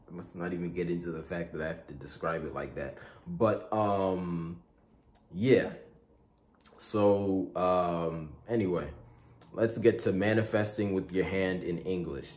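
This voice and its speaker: Casting: young man from Igboland with a deep voice, laid-back tutorial host, rambling delivery with plenty of "um"s and restarts. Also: slow pace. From Igboland, Nigeria. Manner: relaxed, rambling, with many fillers and self-corrections. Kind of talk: casual spoken tutorial.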